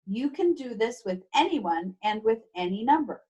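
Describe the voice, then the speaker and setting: A woman teacher reading a children's book aloud over a video call, expressive and instructive, with playful emphasis and casual asides to pupils. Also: a little high, moderate pace, husky. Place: remote video class.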